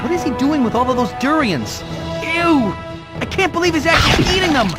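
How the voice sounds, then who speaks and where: An animal hero in a cartoon game, with a high-pitched and emphatic voice. He is excitable, brave and quick to wisecrack, heard over loud game music. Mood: exasperated. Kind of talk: cartoon video game voice acting.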